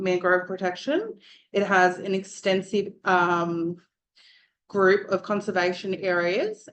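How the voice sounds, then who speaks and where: A woman with a loud, projecting voice gives a prepared presentation to an audience as a student speaker. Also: lowish voice, slight background noise.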